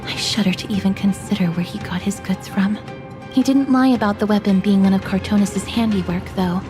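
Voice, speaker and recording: An actress playing a composed, observant travelling companion in a video game, polite, measured and formal. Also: female voice, medium pitch, steady tone, music in the background, close to an everyday voice. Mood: quietly pensive.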